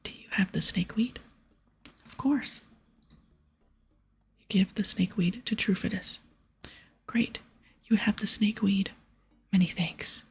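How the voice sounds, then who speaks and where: Breathy whispering ASMR woman. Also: medium pitch, unhurried, pauses often. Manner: gentle, hushed, casual rambling. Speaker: ASMR gaming video creator. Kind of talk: ASMR whispered gameplay commentary with quest dialogue read aloud.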